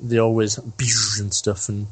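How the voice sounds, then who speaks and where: A man's voice: fairly low pitch, completely flat delivery, slow, breathy edge, dry recording. A young man chatting casually as a podcast co-host, laughing often and swearing freely. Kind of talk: casual chat.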